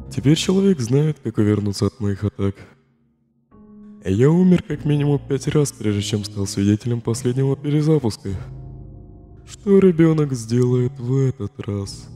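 A man's voice: mid-range voice, animated, moderate pace, warm tone, close to an everyday voice. A man voicing a weary, sardonic, protective skeleton in a comic dub, with a subdued, dry, sighing delivery. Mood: resigned, grim. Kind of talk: dramatic fan comic dub. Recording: long hall reverb, faint music behind.

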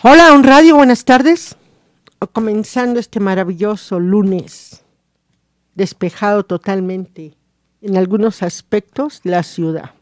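A woman with a Mexican accent hosting a radio show, reading reflections aloud in a halting, deliberate way. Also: medium pitch, no echo.

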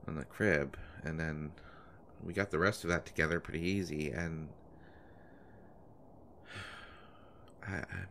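A deep-voiced young love story lead: an anxious, devoted boyfriend played by a man in an intimate audio roleplay. He speaks haltingly, stumbling over his words as he tries to reassure his pregnant partner. There is clear noise behind the low voice. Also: fairly bright tone, full character voice. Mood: self-doubting. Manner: hesitant, stammering, halting. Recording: noticeable background noise.

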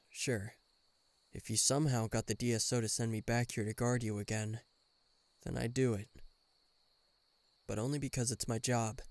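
A man's voice: medium pitch, vocal fry, no echo, natural voice. A man playing a guarded government bodyguard in an intimate listener roleplay, dryly teasing and reluctantly tender. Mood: wry, flustered. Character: gruff, guarded male government bodyguard, secretly fond.

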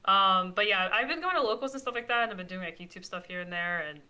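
A woman's voice: medium pitch, lively intonation, fast talker, bright, forceful tone, clear, resonant tone. A woman guest on a casual podcast video call, talking in rambling, filler-heavy bursts. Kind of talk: casual chat.

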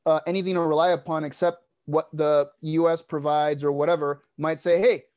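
A man's voice: on the high side, level tone, a little fast, a little mellow. A man hosting a panel webinar over a video call, thinking aloud with fillers and restarts. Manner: thoughtful, many fillers and restarts. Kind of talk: casual panel moderation. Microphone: wired earbuds on a video call.

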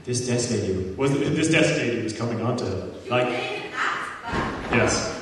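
A man's voice: medium pitch, lively intonation, normal speed, noisy background. A man performing a comic, theatrical dramatic reading with amused asides, as a panel performer. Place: large conference hall, panel table before audience. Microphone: far camera. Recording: distant camera mic in echoey hall with audience.

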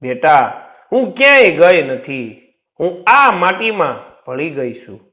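A man voicing a tender, solemn dead village mother speaking to her son in a storybook tale, gently and gravely. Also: a little high, very animated, slow pace, full character voice. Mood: tender, reassuring. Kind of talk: storybook character voice in narration.

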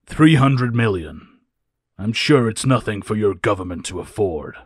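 A man's voice: on the low side, expressive, unhurried, breathy edge, no echo, clean studio sound. A man playing a cold, haughty villain who poses as an arms dealer, curt and imperious. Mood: cold, demanding.

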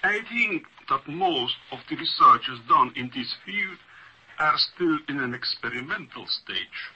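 A man's voice: fairly high pitch, expressive, unhurried, sharp tone, husky, low-quality recording. A man speaking formally and precisely as an academic panelist in a radio discussion.

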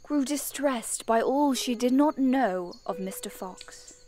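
A woman's voice: on the high side, slow pace, mellow. A woman playing a restless, genteel sixteen-year-old girl in a period audio drama, with ornate formal diction. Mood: intrigued. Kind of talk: audio drama acting and storytelling.

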